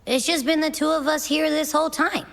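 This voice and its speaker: High-voiced, excitable game girl. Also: a little fast, creaky, heavily acted. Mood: alarmed. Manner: casual, excitable. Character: lively young girl companion, casual and expressive. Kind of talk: video game voice acting.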